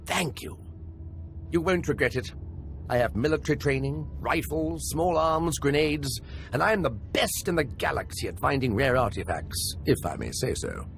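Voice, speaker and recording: A man's voice: on the high side, vocal fry, exaggerated voice. A man playing an eager, nervous young imperial officer and scholar in a video game, earnest and a little awkward.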